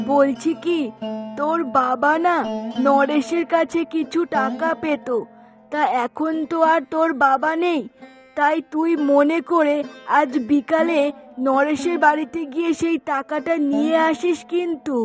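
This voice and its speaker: A woman's voice: sits high, fairly even intonation, a little slow, soft background music. A woman voicing a nagging, worried widowed mother of a grown son in a moral-story cartoon, chiding and complaining. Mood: instructing.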